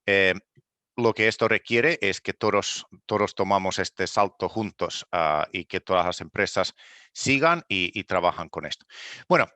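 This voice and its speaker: A man from Finland gives a keynote talk in a language he learned later. His voice sits a little low but sounds bright, and he pauses on fillers and restarts phrases as he goes. The sound is close and dry. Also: animated, no echo. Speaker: keynote speaker. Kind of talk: conference presentation.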